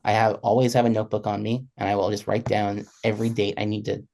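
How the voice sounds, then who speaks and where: A man in his twenties with a fairly low voice talks reflectively as a panelist. He is earnest and halting, often restarting a phrase to put it more exactly. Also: little pitch movement, creaky, darkish tone. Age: 20s. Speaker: panelist, freelance musician.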